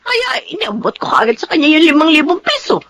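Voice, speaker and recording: A woman's voice: sits high, very animated, a little fast, fairly bright tone, full character voice. A woman acting a nosy, well-meaning middle-aged aunt in a Filipino radio drama, bustling and persuasive. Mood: persuasive, proud.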